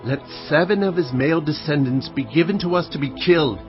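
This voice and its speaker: A man's voice: on the high side, brisk, close to an everyday voice. An actor playing the aggrieved spokesman of a persecuted ancient people in a dramatized audio Bible, insistent and declamatory. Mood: vengeful. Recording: dull band-limited audio with music bed.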